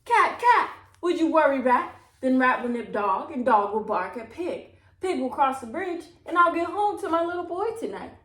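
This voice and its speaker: A tearful old woman from a storybook pleads in a high, lilting singsong, as a woman reads her aloud. The voice rings in a roomy, echoey space. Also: very animated, moderate pace, fairly bright tone. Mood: pleading. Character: tearful old woman trying to get home. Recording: roomy echo.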